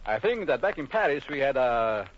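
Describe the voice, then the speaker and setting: A man acting a suave, jealous doctor in old radio horror, courteous and insinuating, with deliberate, menacing pauses. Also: mid-range voice, very animated, close to an everyday voice. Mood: smoothly probing. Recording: muffled old radio broadcast, faint hiss.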